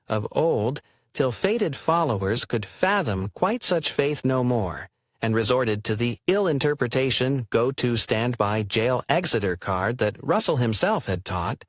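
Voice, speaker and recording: A man's voice: mid-range voice, dry recording, studio quality. A man performing theatrical spoken-word poetry in a wry, declamatory way.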